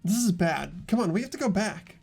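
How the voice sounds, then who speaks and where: A man's voice: high voice, expressive, a little fast, vocal fry, a little mellow, husky, faint music behind, full character voice. A man playing an earnest teenage boy investigator in dramatic video game dialogue. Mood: urgent. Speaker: voice actor in a fan playthrough.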